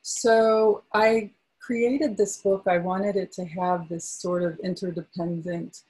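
A woman poet reads aloud in a slow, soft-spoken way, chatting casually between poems. Her voice is dark and mellow, a little husky, and sits slightly low. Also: level tone, low-quality recording. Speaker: poet at a book launch. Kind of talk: poetry reading with casual asides.

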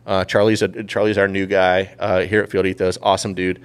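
A man hosting a podcast in casual chat, speaking informally and haltingly, often repeating phrases. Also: sits low, flat delivery, smooth. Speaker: podcast host.

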